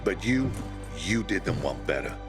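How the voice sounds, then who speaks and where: Older gruff veteran chief, deep-ish gravelly voice, lively pitch, blunt and commanding, game cutscene, music behind. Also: male voice, normal speed. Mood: warm, reflective. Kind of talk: video game cutscene acting.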